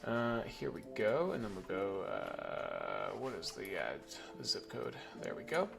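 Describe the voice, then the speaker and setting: A man raised in New Zealand with an American accent, casually explaining a software setup step by step and checking in with "okay?" and "right?". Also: fairly low pitch, wildly swooping pitch, a little slow, creaky, over music. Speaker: email marketing instructor. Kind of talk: screen-recorded software tutorial.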